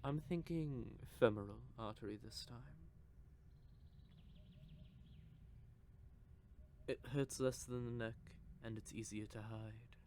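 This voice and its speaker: A man voicing a cocky, possessive male vampire who teases with soft whispered asides, in an audio roleplay. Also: medium pitch, normal speed, a little hiss or hum, lightly acted. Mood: smug. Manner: teasing, smug, with soft whispered stretches.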